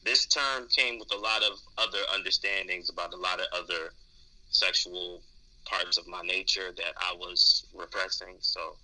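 A man's voice: low-pitched, fairly even intonation, normal speed, pushed and bright, rough recording. A man in candid podcast conversation, speaking thoughtfully and hesitantly, often repeating words as he explains. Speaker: podcast co-host discussing dating.

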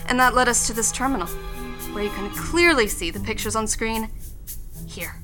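A woman's voice: fairly high pitch, normal speed, music in the background, lightly acted. A woman playing a cool, efficient tech expert in an audio drama, speaking formally. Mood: businesslike.

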